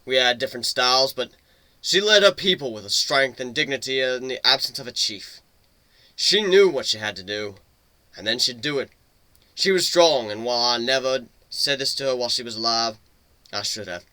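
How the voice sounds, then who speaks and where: Guy doing a griffin captain in a fan story, gruff solemn speeches, very sharp forceful voice, dry mic. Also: mid-range voice, animated, moderate pace, dry recording. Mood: solemn respect. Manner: solemn, emphatic oratory. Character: gruff, rousing male griffin crew leader. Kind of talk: dramatic reading of fan fiction.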